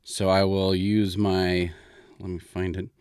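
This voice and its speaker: A man hosting a story podcast, improvising in a casual, informal and halting way as he thinks aloud. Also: sits low, little pitch movement, a little mellow, clear, resonant tone, no room sound, clean studio sound.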